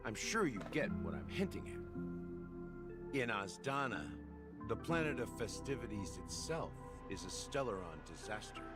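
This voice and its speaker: A low male voice plays a knowing revolutionary in a video game. He narrates in a composed, theatrical way, measured and deliberate. Loud music plays underneath. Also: very animated. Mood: measured, grave. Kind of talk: dramatic scripted game dialogue.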